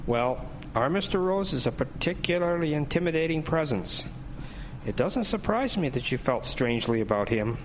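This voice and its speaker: A man playing a minister in an audio drama, deliberate and wry, with a preacherly, gently provoking way of talking. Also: a little high, sing-song, a little hiss or hum, rough recording. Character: measured, gently provoking male minister, solemnly philosophical. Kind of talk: scripted audio drama acting.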